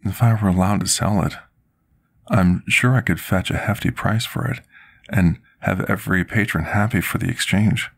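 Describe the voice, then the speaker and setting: Guy doing a timid potion master for asmr roleplay, deep husky soft voice, formal and deferential, bit of fry. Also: moderate pace, full character voice. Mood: humble, hesitant. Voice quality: husky, gravelly. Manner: formal, polite, submissive, archaic wording. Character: gentle, timid, deferential male potion master serving a dragon.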